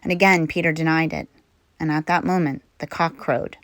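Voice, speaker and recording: A woman's voice: sits low, fairly even intonation, normal speed, fairly bright tone, a little hiss or hum. A woman reading a Bible story aloud as a lay reader. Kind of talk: scripture reading.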